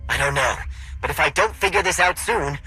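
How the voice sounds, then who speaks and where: Tense, sharp-voiced rebel technician. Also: male voice, on the high side, lively intonation, fairly quick, husky, faint music behind, heavily acted, poor audio. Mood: tense, worried. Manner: tense, urgent. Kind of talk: video game cutscene acting.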